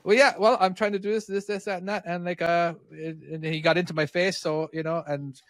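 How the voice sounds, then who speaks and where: Truck-driving podcast co-host from Ireland, rambling and swearing, raised pitch, dry sound. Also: male voice, steady tone, a little fast, fairly bright tone, no room sound. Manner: rambling, swears a lot, many restarts. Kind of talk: casual podcast chat.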